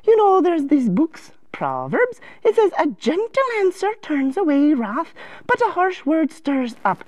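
A high-pitched, fussy advisor acted by a woman in a children's moral lesson. The voice is sing-song and coaxing, patiently instructive, swooping widely in pitch in a dry, close recording. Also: sing-song, measured pace, darkish tone, dry recording.

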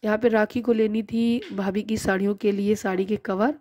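A woman's voice: mid-range voice, fairly even intonation, normal speed, warm tone. A woman in her thirties narrating her own shopping vlog in a chatty, explaining way. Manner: chatty, explains prices and bargaining. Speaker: vlogger. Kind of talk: casual vlog voice-over narration.

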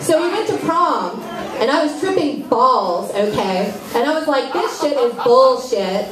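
A woman's voice: high voice, lively intonation, a little slow. A woman voicing a brash, foul-mouthed goth woman puppet in a live comedy act, bratty, taunting and sardonic. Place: small indoor club stage, far camera. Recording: roomy, distant mic in noisy crowd.

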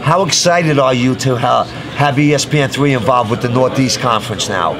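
A middle-aged man interviewing a player on a handheld mic, talking in an energetic, emphatic style at a busy indoor media event. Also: mid-range voice, moderate pace. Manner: hyped, emphatic catchphrases. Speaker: sports interviewer. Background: crowd chatter.

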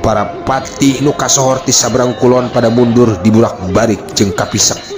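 A man's voice: medium pitch, fairly even intonation, normal speed. A man narrating a folk tale in a theatrical, declamatory recitation. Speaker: storyteller. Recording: loud music bed under the voice. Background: music.

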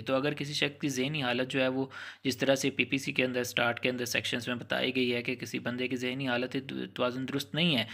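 A man's voice: medium pitch, pushed and bright. A man with a Pakistani accent lecturing as a teacher, explaining step by step with examples and restatements and mixing in English technical terms. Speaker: law lecturer.

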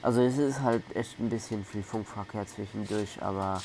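A man's voice: on the low side, normal speed, vocal fry, darkish tone, slight background noise, rough recording. A man casually commentating a video game as host, rambling colloquially and often repeating himself. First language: German. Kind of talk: casual let's play commentary.

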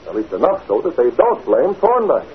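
Male actor for confident crusading publisher, challenging, mid-pitched and very animated, 1940s radio sound. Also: normal speed, natural voice. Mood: combative. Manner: challenging, sardonic. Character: forceful, confident, sardonic newspaper publisher. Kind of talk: 1940s radio drama acting. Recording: noisy, narrow-band 1940s radio recording.

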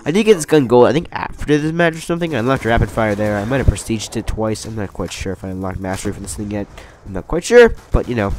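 A young man talks quickly through his gameplay, his voice stuffed up from a cold. He rambles and corrects himself, staying upbeat. A faint hiss of noise sits behind him. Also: lowish voice, animated, darkish tone. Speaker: gaming commentator. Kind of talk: casual gameplay commentary.

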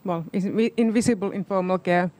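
A middle-aged woman giving spontaneous panel remarks in halting non-native English, holding a microphone. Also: on the low side, mellow. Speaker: expert panelist. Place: seminar auditorium on stage. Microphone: handheld microphone.

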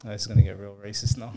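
A young man co-hosting a podcast, chatting casually and telling anecdotes with quick mock voices. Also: on the low side, gravelly, darkish tone, a little hiss or hum. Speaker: podcast co-host. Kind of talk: casual chat.

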